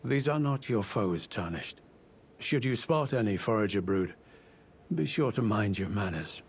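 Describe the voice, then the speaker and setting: An aging knight of a fantasy game, now a scholarly investigator. His voice sits on the low side, and he speaks with grave courtesy and old-fashioned, measured phrasing. Clear background noise and rumble sit behind him. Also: animated. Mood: genial, formal. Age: older. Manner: formal, archaic, measured, courteous. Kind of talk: video game character dialogue.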